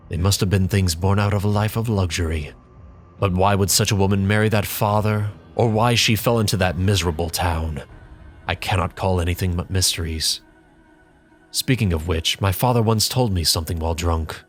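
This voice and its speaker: A man with a very deep voice reads a dramatic first-person monologue. His delivery is measured and reflective, with a bitter edge. Soft music plays quietly behind him. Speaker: reader.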